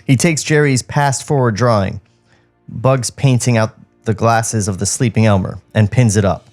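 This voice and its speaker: A man's voice: on the low side, normal speed, darkish tone. A man reading screenplay stage directions as a podcast host, with music behind him. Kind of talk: screenplay narration with joking asides.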